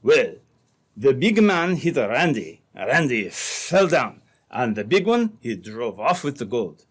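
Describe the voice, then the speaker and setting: A man playing an earnest French-Canadian trapper with a French accent in an old-time radio drama, speaking in a deliberate, dramatic way. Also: medium pitch, very animated, husky, heavily acted. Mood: dramatic, insistent.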